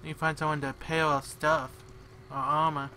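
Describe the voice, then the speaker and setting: A man's voice: a little high, little pitch movement, moderate pace, bright, forceful tone, a little hiss or hum. A man giving casual, off-the-cuff video game commentary, muttering to himself in short fragments. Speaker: gamer, let's player.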